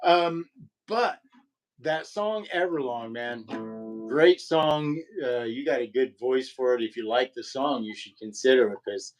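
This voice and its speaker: A man with a low voice in casual conversation, rambling and halting with many fillers and restarts. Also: faint music behind, poor audio. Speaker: podcast co-host. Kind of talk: casual chat about guitar.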